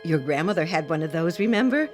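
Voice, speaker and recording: A woman's voice: low voice, expressive, fast. An actress playing a wistful, easily delighted married woman out sightseeing in a radio drama, gushing with exclamations. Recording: radio play with soft music underneath.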